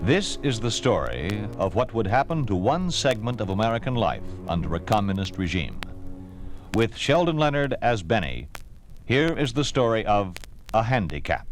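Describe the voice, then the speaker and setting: A man's voice: fairly low pitch, sing-song, moderate pace. A man announcing in dramatic, scripted 1950s radio narration style. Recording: old radio broadcast with music.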